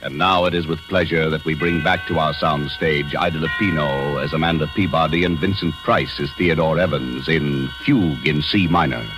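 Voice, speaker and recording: A man's voice: extremely low pitch, fairly even intonation, moderate pace, hardly any pauses. A man announcing a 1940s radio drama in a formal, dramatic, ominous manner. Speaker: program announcer. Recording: vintage radio broadcast with loud music.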